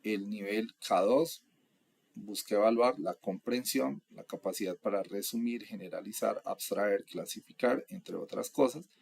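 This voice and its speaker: A young adult man from Colombia, explaining course material in a steady, methodical lecture. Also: on the low side, a little slow, creaky, husky. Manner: steady, methodical, lists items one by one. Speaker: instructor.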